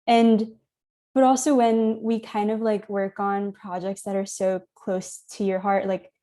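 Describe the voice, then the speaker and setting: Mellow-voiced American woman moderating casually. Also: mid-range voice, flat delivery, measured pace. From United States. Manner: informal, frequent 'like'. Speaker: moderator, journalist. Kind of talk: casual interview chat. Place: remote virtual event.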